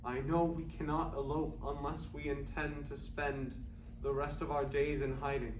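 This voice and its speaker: A man's voice: a little high, flat delivery, normal speed, lightly acted. Man acting a lovesick writer, echoey and distant. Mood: yearning. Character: lovesick male writer in 1920s Paris, yearning and fearful. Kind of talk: staged play reading of love letters. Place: indoor performing arts academy, mic far off. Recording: distant, echoey, noisy live stage recording.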